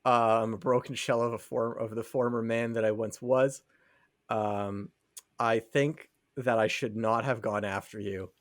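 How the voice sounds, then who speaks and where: A man's voice: medium pitch, fairly even intonation, a little slow, dry recording, studio quality. A man co-hosting a casual podcast, talking in a theatrical, mock-dramatic way. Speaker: podcast co-host. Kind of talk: casual chat.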